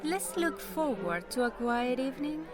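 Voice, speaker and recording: A woman's voice: high voice, sing-song, moderate pace. A woman playing a gracious family friend at a party, polite and charming. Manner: polite, gracious, slips into Spanish words. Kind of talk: fan audio drama acting. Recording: music bed under the dialogue.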